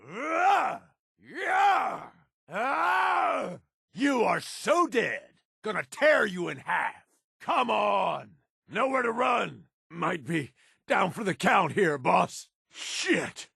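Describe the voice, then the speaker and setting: An actor playing a tough, cynical middle-aged raider henchman in a video game, with a gravelly voice and rough, folksy, sardonic slang. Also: high voice, big pitch swings, drawn-out words, pushed and bright, no echo, studio quality, heavily acted. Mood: pained, straining, then taunting, aggressive. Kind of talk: video game character voice lines.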